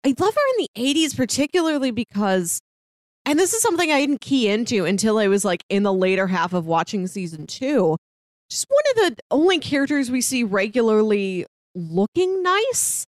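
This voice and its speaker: A woman's voice: a little high, lively intonation, moderate pace, no echo, studio quality. A woman co-hosting a podcast in casual chat, talking chattily and qualifying her opinions as she goes. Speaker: podcast co-host. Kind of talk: casual chat about a TV drama.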